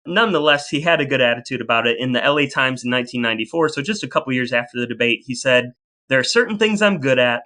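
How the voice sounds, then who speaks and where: A man's voice: mid-range voice, pushed and bright. A man co-hosting a podcast, chatting casually with his partner on the show. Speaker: podcast co-host. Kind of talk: casual chat.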